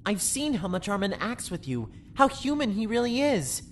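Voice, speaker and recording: A woman playing a weary, conflicted male ship administrator in a sci-fi drama, speaking earnestly and haltingly. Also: mid-range voice, big pitch swings, slight background noise, natural voice. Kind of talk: sci-fi audio drama acting.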